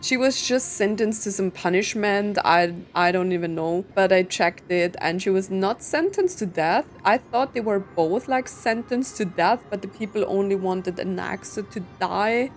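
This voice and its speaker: A woman's voice: mid-range voice, normal speed, few pauses. Casual rambling woman streamer over game music. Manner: rambling, musing aloud. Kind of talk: casual gameplay commentary.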